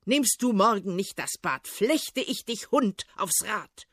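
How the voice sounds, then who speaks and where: Woman putting on a vain old tsar, pompous, threatening, husky, dry. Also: deep voice, sing-song, takes it slowly, no room sound, pitched below the performer's own voice, exaggerated voice. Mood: furious.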